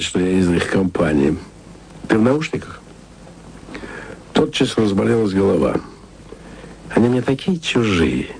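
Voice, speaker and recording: A deep, low voice of a bookish, jealous man in a radio play, speaking to his lover in a quiet, confiding way. The tone is wry and musing, with a faint hiss in the background. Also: rough recording. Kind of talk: intimate radio drama monologue.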